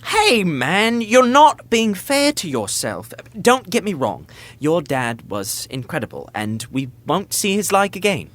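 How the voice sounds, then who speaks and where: An actor playing a prince's loyal, level-headed young best friend, bantering dryly in a modern comedy audio drama. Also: male voice, high voice, big pitch swings, measured pace, a bit bright, exaggerated voice. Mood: earnest, supportive. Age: young adult. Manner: dry, teasing banter.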